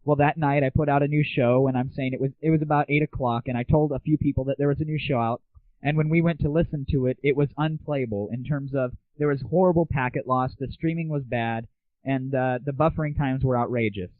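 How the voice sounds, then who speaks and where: A man's voice: medium pitch, fairly even intonation, a little fast, no echo. Phone-like recording of an irreverent man chatting. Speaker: host of an internet audio show. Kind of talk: casual solo rant and chat. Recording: muffled phone-like lo-fi 1990s internet audio.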